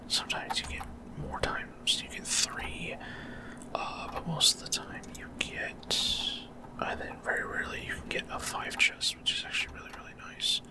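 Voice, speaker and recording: Guy whispering asmr game commentary, breathy, kinda rambly and hesitant, super casual. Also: normal speed. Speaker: gaming video creator.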